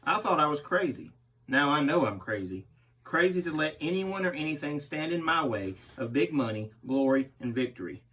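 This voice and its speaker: A man's voice: on the high side, expressive, moderate pace, a bit bright. A man reading aloud as a brutal, boastful barbarian pro wrestler in a menacing promo style. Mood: menacing, defiant. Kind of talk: interview read aloud as a wrestler. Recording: distant microphone.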